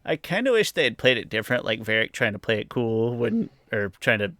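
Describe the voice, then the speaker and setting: A man's voice: medium pitch, big pitch swings, fairly quick, bright, forceful tone, no room sound. A man co-hosting a podcast, chatting casually and with opinions about a TV show. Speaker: podcast co-host. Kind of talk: casual TV review chat.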